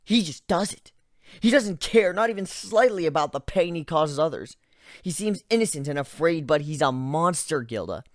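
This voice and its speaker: A reader voicing a traumatized, frightened and angry griffin in a dramatic story reading, halting and overwrought. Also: medium pitch, animated, no room sound, studio quality, close to an everyday voice. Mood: anguished, vehement. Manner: overwrought, halting, swinging from hushed to shouting. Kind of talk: dramatic reading of fan fiction.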